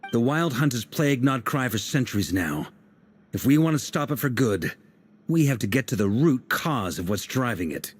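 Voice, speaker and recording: An actor voicing a charming, sly adult male knight in a video game, speaking in a smooth, playful, persuasive way. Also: mid-range voice, expressive, moderate pace. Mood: scheming.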